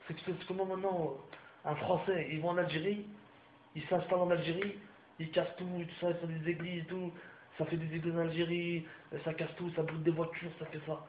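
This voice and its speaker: A young man from France ranting straight to his viewers, heated and repetitive, with crude slang. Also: on the high side. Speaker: vlogger. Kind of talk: ranting monologue to camera. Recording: roomy, muffled home recording with faint background hiss.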